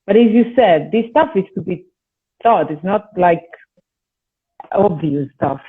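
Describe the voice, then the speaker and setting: A woman's voice: lowish voice, expressive, measured pace, breathy edge. A woman from Italy with an Italian accent, a singer and voice teacher talking earnestly in a casual conversation, her English learned as a second language. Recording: tinny phone-like line.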